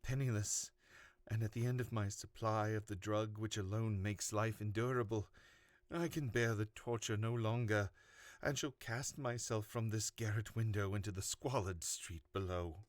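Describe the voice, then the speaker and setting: A man's voice: on the low side, fairly even intonation, normal speed, no room sound, studio quality. A man reading aloud in a dramatic storytelling style, as the host and narrator of horror tales.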